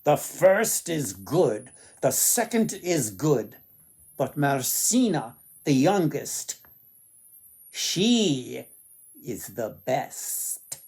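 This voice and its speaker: The storybook sun, voiced by a man, is a solemn and stately judge. Each verdict comes slowly and with firm weight, in a mellow tone. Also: mid-range voice, big pitch swings, vocal fry, slightly rough, full character voice. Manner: slow, deliberate, emphatic pronouncement.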